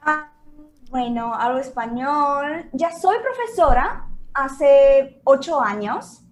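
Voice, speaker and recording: A woman's voice: high voice, lively intonation, slow. A Brazilian woman whose first language is Portuguese, a Spanish teacher speaking as a guest over a video call and searching for her words.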